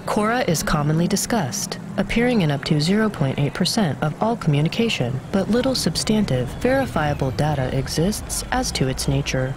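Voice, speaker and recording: A formal, emotionless machine assistant from a video game, acted out by a performer, reciting data with exact precision. The mid-pitched delivery moves up and down in pitch, with clear city noise around it. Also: expressive, moderate pace, few pauses, close to an everyday voice. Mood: clinical. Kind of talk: scripted game dialogue, reading data.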